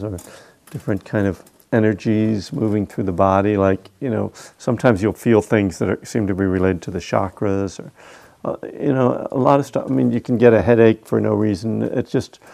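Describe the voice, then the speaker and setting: Low gravelly-voiced male meditation teacher. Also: fairly even intonation, normal speed, few pauses, dark tone. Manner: easygoing, wry, hesitant with fillers. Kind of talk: relaxed Q&A guidance. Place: meditation hall.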